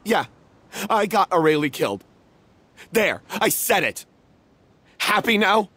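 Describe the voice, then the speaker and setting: Guilt-ridden young stage actor, husky, swinging pitch. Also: male voice, very animated, bright, forceful tone, no room sound, full character voice. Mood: bitter. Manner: halting, confessional, emotive delivery. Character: guilt-ridden, defensive young male theater troupe actor. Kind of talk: dramatic English video game dubbing.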